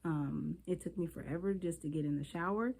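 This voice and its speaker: Female vlogger, a bit low-pitched, congested from a cold, candid rambling chat to camera on a phone. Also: brisk, gravelly, darkish tone, rough recording. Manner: rambling, candid, talks straight to viewers. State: stuffy from a cold. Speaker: beauty vlogger. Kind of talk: casual chatty vlog.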